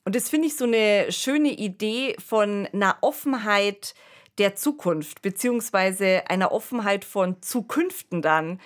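A woman's voice: medium pitch, expressive, measured pace. A woman co-hosting a casual podcast discussion in an analytical, explanatory way. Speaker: podcast co-host. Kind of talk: casual discussion of science fiction radio plays.

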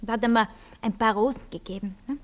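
A high-pitched young Viennese woman played by an actress, tipsy and flighty, in a theatrical radio drama. She pouts, sighs and drops into whispers, defiant and dramatic. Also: quick pace, close to an everyday voice. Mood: dreamy, tipsy. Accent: Viennese Austrian. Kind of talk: radio play acting, theatrical.

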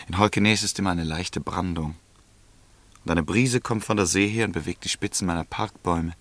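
An actor playing a courtly, wistful country gentleman in a German radio drama, speaking in a measured, lyrical way. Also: on the low side, dry recording.

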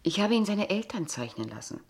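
An actress in a German radio play as a polite, probing kindergarten teacher, speaking in a measured, formal, pointed way. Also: female voice, mid-range voice, big pitch swings. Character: polite, insistent, probing kindergarten teacher, a young unmarried woman.

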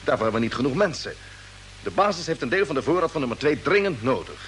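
A man's voice: medium pitch, sing-song, quick pace, fairly bright tone. A man acting a decisive, fatherly space expedition captain in mid-century radio drama, authoritative and reassuring. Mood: firm, reassuring. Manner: authoritative, reassuring, ends phrases with questioning tags. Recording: noisy old radio drama recording.

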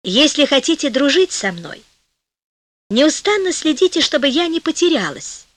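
A woman's voice: high-pitched, very animated, moderate pace, dry recording, pitched above the performer's own voice. A woman voicing a dignified, scrupulous noble lady spirit in a storybook, speaking courteously and formally. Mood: solemn.

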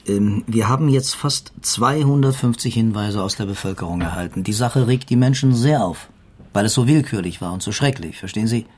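An actor playing a patronising, sceptical police detective in a naturalistic radio crime drama, officious and placating in manner. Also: male voice, fairly low pitch, brisk, husky. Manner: officious, placating, hesitant fillers.

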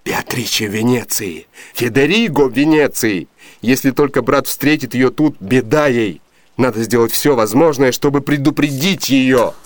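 A man as an imperious gentleman master, dry sound. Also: mid-range voice, moderate pace, no room sound, lightly acted. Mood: anxious, tender. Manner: imperious, declamatory, crisp orders. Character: impatient, lovestruck gentleman master, commanding and earnest. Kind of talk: theatrical 1980s Soviet radio drama acting.

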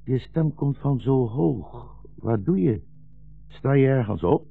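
An actor playing a weary, bitter old blind rabbi fleeing war, solemn and brooding, in a 1960s Dutch radio drama. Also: male voice, medium pitch, animated, slow, gravelly, husky, no room sound, faint music behind, full character voice. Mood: anxious. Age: older. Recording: dull, muffled 1960s radio recording.

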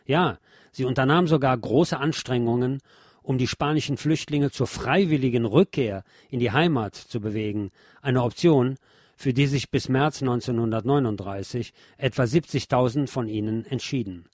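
A man reading scripted documentary narration in a measured, solemn, literary manner. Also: mid-range voice, a little mellow, dry recording, clean studio sound.

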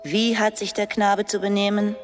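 An actress playing a strict young schoolmistress in a radio drama, curt and imperious. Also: female voice, medium pitch, little pitch movement, moderate pace, soft background music, lightly acted. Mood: drilling, strict. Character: stern, commanding young lady schoolmistress.

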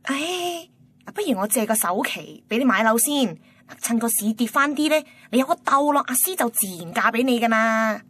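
A woman's voice: a little high, expressive, moderate pace, fairly bright tone, breathy edge. A woman with a Hong Kong Cantonese accent playing a doting older mother who nags and coaxes in a radio drama. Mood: nagging, coaxing. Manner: naggy, coaxing. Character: nagging, doting older mother of grown children.

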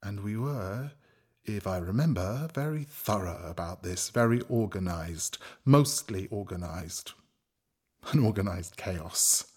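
Slow, husky, hesitant man. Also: lowish voice, expressive, darkish tone, full character voice. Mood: halting, insistent. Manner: halting, searching memory, self-correcting. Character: hesitant man recalling experiments on children. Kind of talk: radio drama monologue.

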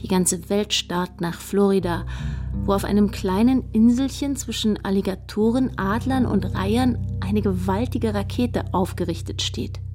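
A woman's voice: medium pitch, unhurried. A woman narrates in a mid-range voice with a matter-of-fact, slightly wry manner. The delivery is scripted and reportorial, set over faint music. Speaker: narrator in a radio play.

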